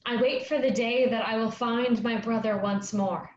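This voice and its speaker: A woman playing an earnest young travelling player in a staged reading of a play, speaking with measured delivery. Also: fairly high pitch, steady tone, lightly acted. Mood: wistful. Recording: echoey, dull lo-fi, noisy room.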